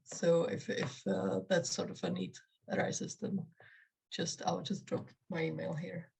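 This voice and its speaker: A woman's voice: fairly low pitch, level tone, gravelly, darkish tone, slightly rough. A woman from the Republic, a guest on an online panel offering help. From Czech Republic. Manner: offering help, quick and direct.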